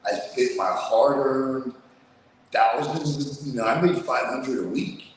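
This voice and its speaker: A man with a low voice telling his story in a casual, streetwise, blunt way, swearing freely, as an interview guest. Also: takes it slowly, very echoey, long reverb tail, slight background noise, low-quality recording. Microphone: remote video call.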